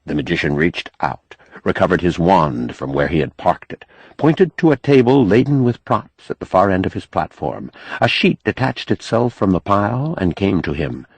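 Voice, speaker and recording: A man's voice: sits low, very animated, moderate pace, breathy edge, no room sound, poor audio. A man reading scripted fiction as an audiobook narrator.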